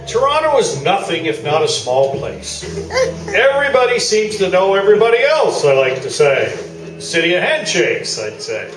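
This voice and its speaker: Man playing a pompous, high-pitched, swooping sports columnist. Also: big pitch swings, moderate pace. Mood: smugly defensive. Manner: grandiose, pontificating. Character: pompous, self-satisfied male sports columnist, fond of Toronto's hockey establishment. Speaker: actor in a live radio play. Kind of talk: staged talk-show debate, comic radio play. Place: live theatre, mic at a distance. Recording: roomy distant stage recording, music behind.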